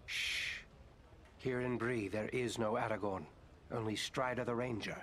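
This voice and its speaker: An actor playing a grave, watchful ranger chieftain in a fantasy game, speaking in a measured, earnest way. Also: male voice, mid-range voice, steady tone, fairly bright tone, slight background noise, lightly acted. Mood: guarded.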